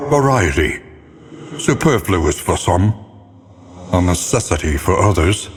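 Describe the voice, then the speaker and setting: An actor playing a grave, brooding, scholarly older male narrator in a gothic video game, speaking with solemn, theatrical, measured delivery and literary diction. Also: deep voice, long reverb tail. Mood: grave, portentous.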